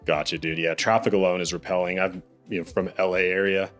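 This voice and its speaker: A man's voice: sits low, level tone, fast, fairly bright tone, soft background music. A young man hosting a podcast in casual interview chat, upbeat and calling his guest "dude" and "bro". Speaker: podcast host.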